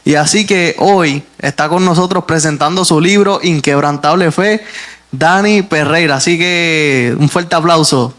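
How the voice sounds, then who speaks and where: Man, Puerto Rican accent, podcast host, somewhat high voice, earnest and reflective. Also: a little slow, a bit bright.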